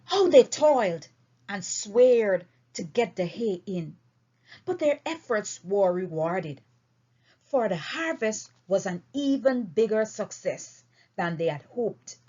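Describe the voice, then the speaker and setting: A woman reading a story aloud in an expressive, deliberate and emphatic way. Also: medium pitch. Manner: emphatic, deliberate phrasing with dramatic swings. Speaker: audiobook reader. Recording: dull, lo-fi recording.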